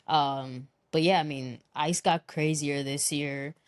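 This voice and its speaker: Low-pitched woman podcast co-host, slangy. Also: fairly even intonation, slow pace, no room sound. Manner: slangy, swears freely. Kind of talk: casual chat.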